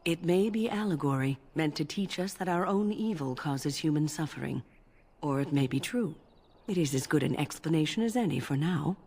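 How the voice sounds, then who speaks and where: A woman playing a kindly, composed older female mage in a fantasy video game, speaking in a measured, gently instructive way. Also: low voice, lively intonation, husky, heavily acted. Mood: grave, instructive.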